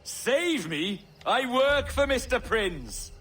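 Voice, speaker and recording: A man's voice: extremely high pitch, very animated, slow, fairly bright tone, heavily acted. An actor voicing a wary, bitter henchman, distrustful and sardonic, in a video game cutscene.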